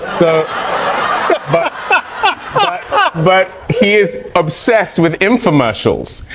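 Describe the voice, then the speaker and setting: Animated man telling a funny story. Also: high voice, takes it slowly, vocal fry, a loud crowd. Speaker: guest. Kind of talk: comedic storytelling.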